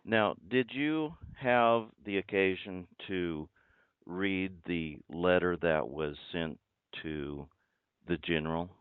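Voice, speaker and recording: Low-voiced, formal man on the phone. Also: clean tone, dry recording. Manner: formal, deliberate, insistent questioning. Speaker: caller introducing himself as a judge. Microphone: telephone. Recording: tinny band-limited phone line.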